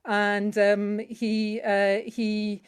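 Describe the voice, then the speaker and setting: A Scottish woman introducing a song at an online song swap, speaking in a chatty, halting way full of restarts. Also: medium pitch, level tone, clear, resonant tone. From Scotland. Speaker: singer sharing a song. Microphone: video call.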